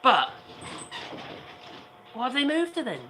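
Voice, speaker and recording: A man with a hoarse voice co-hosting a casual livestream chat outdoors, sarcastic and fond of rhetorical questions. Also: very high-pitched, wildly swooping pitch, slow pace, background noise. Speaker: co-host, video channel maker. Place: outdoors on an island, sitting on cardboard.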